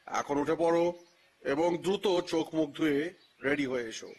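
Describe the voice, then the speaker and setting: An actor playing a genial, teasing elderly colonel who is an amateur detective in an audio story, with an unhurried, knowing delivery. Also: male voice, on the high side, slightly rough. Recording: music bed under the voice.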